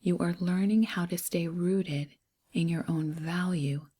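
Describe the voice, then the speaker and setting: A woman with a breathy, hushed, near-whispering voice gently guides a meditation in short, unhurried, soothing phrases. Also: fairly low pitch, fairly even intonation.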